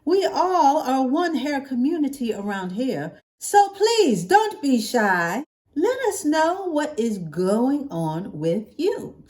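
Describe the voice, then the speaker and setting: A woman's voice: high-pitched, big pitch swings, slow pace, darkish tone. A woman hosting her own vlog, chatting casually to her viewers and playfully stretching out catchphrases for emphasis. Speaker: hair vlogger hosting her own channel.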